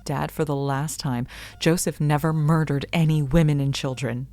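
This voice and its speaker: An actress playing an anguished, stubbornly devoted young daughter in a melodramatic radio drama. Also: female voice, sits low, fairly even intonation, moderate pace, darkish tone. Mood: wounded, insistent. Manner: earnest, intense.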